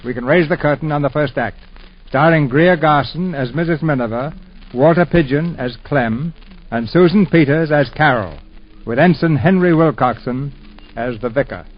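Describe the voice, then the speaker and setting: A man's voice: on the high side, normal speed. A male announcer giving formal, dramatic scripted narration in the manner of 1940s radio. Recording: muffled 1940s radio broadcast over music.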